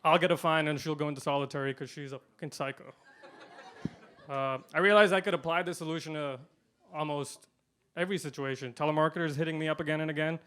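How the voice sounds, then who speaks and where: A man doing a stand-up comedy set, delivering punchlines deadpan and swinging between loud and quiet. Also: fairly high pitch, fast talker, clear, resonant tone. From India.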